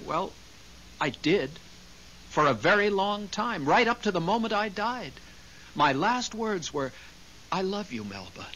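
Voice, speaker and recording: A man's voice: high voice, very animated, unhurried, pauses often, a bit bright, full character voice, rough recording. A man playing an earnest, anxious ghost of a husband, speaking hesitantly in a 1970s radio drama. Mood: anxious, pleading. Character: earnest, anxious newly dead husband in heaven. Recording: hissy old radio recording.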